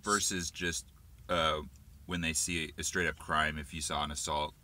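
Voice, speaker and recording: A man's voice: rumbling low, flat delivery, normal speed, sharp tone, a little hiss or hum. A man chiming in casually on a phone call to add points to a complaint. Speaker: second caller. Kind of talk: casual interjections in a phone conversation.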